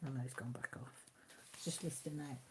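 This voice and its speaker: A woman's voice: very deep voice, fairly even intonation, fast, a little mellow, a little hiss or hum. A woman with a Mid Wales Radnorshire accent, hosting a casual live chat on her channel, reading out comments and laughing often. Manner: chatty, homely, laughs often. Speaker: YouTube channel host.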